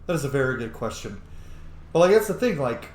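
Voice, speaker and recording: A man's voice: medium pitch, very animated, fairly quick, darkish tone. A man giving casual, sweary gameplay commentary, thinking aloud and roleplaying as he reacts to the game. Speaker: gamer, streamer. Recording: roomy, faintly noisy home recording.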